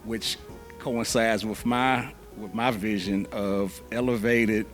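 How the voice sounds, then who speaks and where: Low-voiced middle-aged man, measured. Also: steady tone, faint music behind. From Louisville, Kentucky. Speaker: interview guest, event planner. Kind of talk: casual interview.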